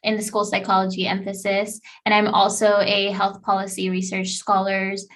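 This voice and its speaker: A woman's voice: mid-range voice, monotone, moderate pace, fairly bright tone. A woman in her twenties, a native Spanish speaker and graduate student, introducing herself in a friendly way with frequent hesitations.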